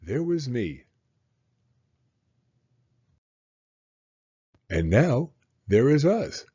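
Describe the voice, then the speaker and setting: A cheerful, wondering young boy from a storybook, voiced by a man. The delivery is eager and very animated, with a soft-edged, husky tone and dull, muffled sound. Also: medium pitch, takes it slowly, exaggerated voice. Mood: cheerful, proud. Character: cheerful young boy talking to his dad.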